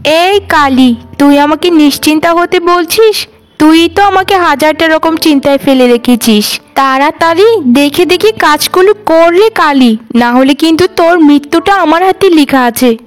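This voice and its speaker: A woman's voice: high-pitched, moderate pace, natural voice. A woman voicing a cruel, scornful sister-in-law in a cartoon, nagging and taunting sharply, with music underneath. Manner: sharp-tongued scolding, taunting. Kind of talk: animated cartoon drama voice.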